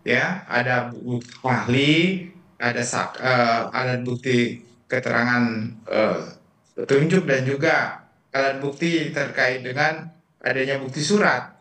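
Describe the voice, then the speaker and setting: A man explaining legal points in a panel discussion, methodical and measured, with frequent tag words. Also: medium pitch, fairly even intonation, fairly bright tone. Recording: roomy, echoing, distant microphone.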